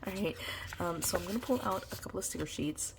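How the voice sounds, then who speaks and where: A woman's voice: on the low side, lively intonation, fast, gravelly, a little hiss or hum, low-quality recording. A woman vlogger chatting casually through her planner decorating, nasal from congestion and sick, her voice starting to give out. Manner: chatty, meandering, full of ums and you-knows. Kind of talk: casual plan-with-me chat.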